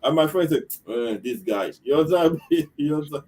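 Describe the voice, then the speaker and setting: A man's voice: on the high side, lively intonation, normal speed, dark tone. A man hosting a casual online discussion over a call, repeating his questions and laughing at times. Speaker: online talk show host.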